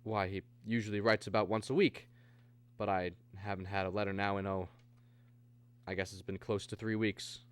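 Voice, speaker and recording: A man's voice: on the low side, flat delivery, normal speed, clear, resonant tone, natural voice. An actor playing an earnest American math teacher and family man who tells his story in a 1950s radio drama style. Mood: casual, puzzled. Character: earnest abducted math teacher, husband and father, narrating.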